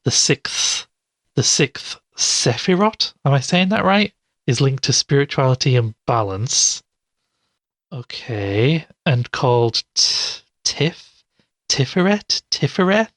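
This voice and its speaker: Sceptical male podcaster played by a man for audio drama; medium-pitched, hesitant, musing, very dry studio sound. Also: sing-song, slow pace, a bit bright, dry recording, full character voice. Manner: hesitant, thinking aloud, dryly sceptical. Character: sceptical male amateur investigator podcaster puzzling aloud. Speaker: podcast host character.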